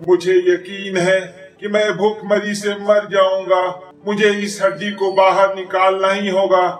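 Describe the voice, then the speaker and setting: A man voicing a proud, selfish tiger in an animated children's fable. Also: high voice, unhurried. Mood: suffering, despairing. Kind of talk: children's cartoon voice acting. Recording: distant, echoey voice recording.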